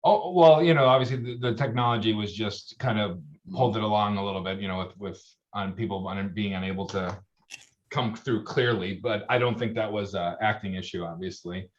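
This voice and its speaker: A man's voice: lowish voice, a little fast. A man speaking as a guest over a video call, hesitant and stammering. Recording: dull lo-fi call audio.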